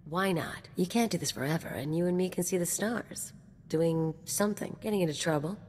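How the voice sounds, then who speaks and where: A woman's voice: fairly low pitch, a little fast, darkish tone, in a large hall, slight background noise, natural voice. An actress playing a brash, swaggering anarchist mercenary woman in a video game, with casual, teasing, slangy talk. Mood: breezy, boastful. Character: brash, cocky female anarchist mercenary companion.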